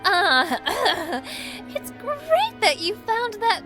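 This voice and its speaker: A woman's voice: very high-pitched, very animated, extremely slow, bright, forceful tone, faint music behind, heavily acted. A woman playing a whiny, hot-headed, goofy teenage heroine in a parody audio drama. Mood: flippant. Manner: whiny, exasperated.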